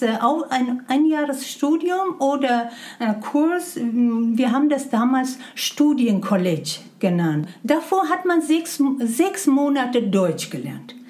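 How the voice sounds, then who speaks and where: A woman's voice: high-pitched, a little mellow. A middle-aged woman from Iran with a Persian accent, speaking non-native German hesitantly with many fillers as an interview guest. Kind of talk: conversational interview.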